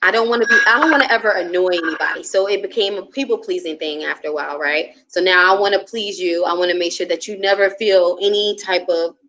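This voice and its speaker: A woman guest on a casual live stream, reflective and soft-spoken, speaking openly about herself. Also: on the low side, quick pace, few pauses, low-quality recording.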